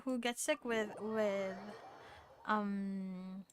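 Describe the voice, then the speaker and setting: A woman's voice: mid-range voice, fairly even intonation, very slow, a little hiss or hum. A young woman chatting casually on a game livestream. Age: young adult.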